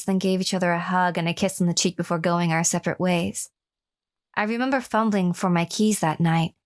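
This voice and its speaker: A woman's voice: on the low side, natural voice. A woman playing a wounded captive recounting her lover's abuse in a horror radio drama. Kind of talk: first-person horror radio drama narration with acted dialogue.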